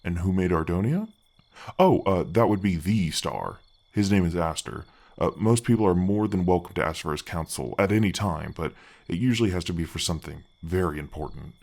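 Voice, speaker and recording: Gargoyle guardian played by a man, ancient but gentle: very low, effect-deepened voice, friendly, reassuring, slightly awkward fantasy ASMR delivery. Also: expressive, gravelly, heavily acted. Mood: chatty, explaining. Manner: halting, friendly, reassuring, chatty. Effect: deep voice effect.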